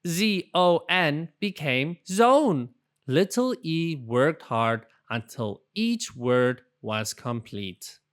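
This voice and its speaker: A storybook narrator reads for young children with very lively intonation. The pace is slow and deliberate, and the voice is smooth and clear, made for expressive storytelling. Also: medium pitch. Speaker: storybook reader. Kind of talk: animated children's read-aloud narration.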